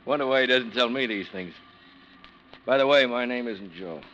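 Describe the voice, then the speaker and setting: An actor playing a tough, wry American plainclothes detective who talks in pointed slang in an old radio crime drama. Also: male voice, medium pitch, moderate pace, fairly bright tone, lo-fi sound, natural voice. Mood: easygoing, wry. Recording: faintly noisy old radio recording.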